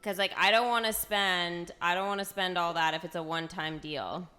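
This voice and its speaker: A woman game streamer giving casual, unhurried commentary, thinking aloud as she plays. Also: lowish voice, fairly even intonation, pushed and bright, clear, resonant tone, faint music behind.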